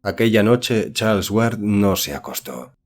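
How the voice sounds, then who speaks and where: Deep-voiced male audiobook narrator. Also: lively intonation, a little slow. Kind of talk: scripted literary narration of a horror novel.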